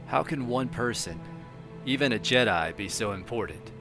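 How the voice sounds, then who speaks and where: A man's voice: medium pitch, lively intonation, moderate pace, over music, close to an everyday voice. A man voicing a curious young soldier recruit, the hero of a video game, in a plain-spoken, questioning way. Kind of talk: amateur video game protagonist dub.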